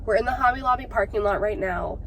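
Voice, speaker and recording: A woman's voice: on the high side, fast talker, fairly bright tone, slight background noise. Chatty woman, nasal from a cold. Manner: chatty, explains step by step. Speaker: craft vlogger. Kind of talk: casual how-to vlog narration. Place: parked car.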